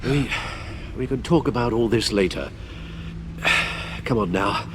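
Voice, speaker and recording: An actor playing a devoted, anxious elderly British butler in a video game, speaking formally and with emotion. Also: male voice, fairly low pitch, expressive, slow pace, background noise. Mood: weary concern. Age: older. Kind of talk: dramatic video game acting. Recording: music under the voice.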